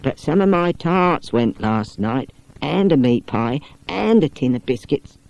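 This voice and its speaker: A man's voice: medium pitch, expressive, measured pace, vocal fry, a little mellow, pitched above the performer's own voice, poor audio. A man voicing a loyal, talkative lady's companion in a storybook, earnest, emphatic and confiding. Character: loyal, talkative woman, companion to an old lady.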